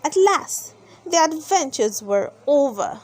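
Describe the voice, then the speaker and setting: A woman narrating a children's storybook aloud in an expressive, deliberate storytelling manner. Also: high-pitched.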